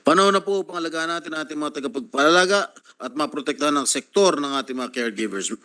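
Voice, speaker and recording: An older man with a Filipino accent delivering a formal floor speech as a senator, reading his points in measured, numbered order and switching into Tagalog at times. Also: fairly high pitch, expressive, sharp tone. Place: legislative chamber.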